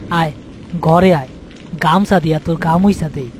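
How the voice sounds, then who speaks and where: A man's voice: on the high side, steady tone, moderate pace, noisy background, natural voice. A man voicing an anxious, protective young uncle in a cartoon, speaking colloquially with a Bangladeshi accent. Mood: tender concern. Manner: tender, worried, colloquial. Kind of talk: horror cartoon character acting.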